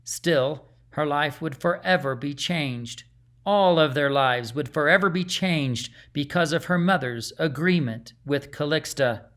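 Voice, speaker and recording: Measured male fantasy storyteller. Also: on the high side, a bit bright. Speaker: audiobook narrator. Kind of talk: scripted fantasy storybook narration.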